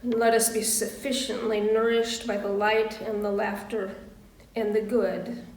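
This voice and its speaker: A woman minister giving a sermon and prayer in measured, deliberate short phrases with pauses. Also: mid-range voice, flat delivery, darkish tone. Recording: roomy echo, distant microphone.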